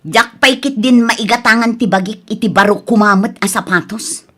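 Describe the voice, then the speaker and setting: Casting: actress as frustrated, dutiful elder sister around thirty, high voice, Ilocano accent, reproachful audio-drama delivery. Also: female voice, normal speed, bright, forceful tone. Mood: exasperated scolding. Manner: exasperated, reproachful.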